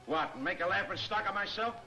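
An actor playing a pompous, scornful city mayor in an old 1940s film serial. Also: male voice, high voice, lively intonation, fairly quick, sharp tone, exaggerated voice. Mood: indignant. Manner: blustering and scornful. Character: pompous, indignant city mayor, older man. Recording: 1940s film soundtrack with music.

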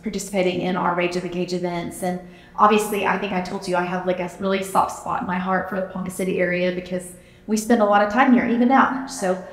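A woman's voice: on the low side, fairly even intonation, fast. Upbeat thirties woman host, casual chatty interviewer, echoey hall sound. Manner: chatty, upbeat, with many um and like fillers. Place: large indoor gym. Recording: echoey gym with long reverb.

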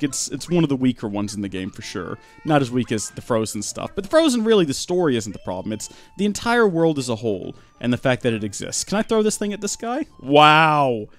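A young man rambles through live game commentary with deadpan jokes. His pitch swings up and down a lot as he talks. Faint music plays underneath. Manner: rambling deadpan joking, animated. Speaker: streamer.

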